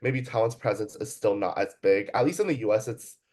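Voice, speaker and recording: A man's voice: on the low side, brisk, a little mellow. A young man from the Bay Area answering interview questions casually, hesitant, with many fillers and restarts. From Bay Area, California, USA. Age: young adult. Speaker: student interviewee.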